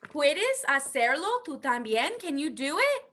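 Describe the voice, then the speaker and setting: A woman's voice: sits high, big pitch swings, measured pace, crowd noise. A woman teaching bilingually at an interactive children's story time, upbeat and encouraging.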